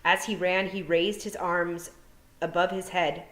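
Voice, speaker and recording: Low-voiced woman reading a storybook aloud. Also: flat delivery, measured pace, fairly bright tone. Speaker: storytime reader, teacher. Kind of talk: storybook narration read aloud. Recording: roomy echo.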